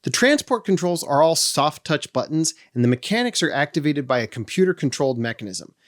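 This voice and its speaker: A man's voice: a little high, sing-song, fairly quick. A man hosting a product walkthrough, explaining features step by step with dry humorous asides. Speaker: host of a how-to channel on second-hand audio gear.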